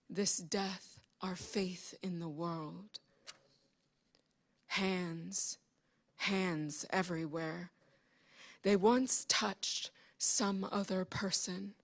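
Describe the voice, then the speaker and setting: A woman giving a solemn, measured literary reading of poetry. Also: on the low side. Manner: slow, solemn, measured delivery. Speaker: poetry reader. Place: public library event.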